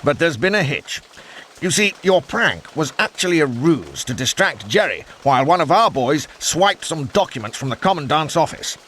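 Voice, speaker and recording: Genial British wing commander, plummy, highish bright voice, swooping intonation, game cutscene. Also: male voice, very animated, moderate pace, a little hiss or hum. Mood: cheerful, conspiratorial. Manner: jovial, courteous, clipped military slang. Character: genial upper-class British wing commander, old-school officer. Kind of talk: video game cutscene acting.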